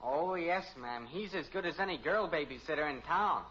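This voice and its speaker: A man's voice: a little high, expressive, normal speed, sharp tone. A male actor playing an eager, self-important teenage son in 1950s radio comedy style, earnest and politely salesmanlike. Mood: businesslike. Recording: muffled old radio recording, faint hiss.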